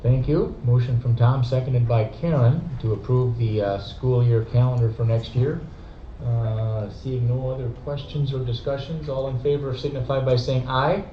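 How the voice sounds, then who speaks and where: A man's voice: medium pitch, steady tone, slight background noise. A man chairing a school board meeting, moving through motions and votes in a procedural way. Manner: procedural, brisk. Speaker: board president. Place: meeting room. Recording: dull echoey room recording.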